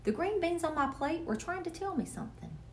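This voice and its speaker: A woman reading a children's story aloud in an expressive, theatrical storytelling manner. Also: very high voice, a little fast, creaky. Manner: expressive, theatrical with character voices. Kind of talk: animated dramatic read-aloud.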